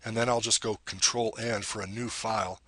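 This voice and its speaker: A man with a deep voice giving a screen-recorded software tutorial, explaining each step plainly and now and then correcting himself. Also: steady tone, a little fast, slightly rough, no echo.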